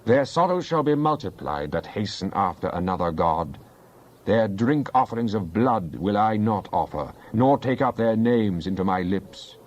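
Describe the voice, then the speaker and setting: A man playing a devout psalmist in a dramatized Bible reading, praying in an earnest, deliberate, declamatory way. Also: lowish voice, expressive, a little mellow, highs cut off. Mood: devout, trusting. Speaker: scripture reader.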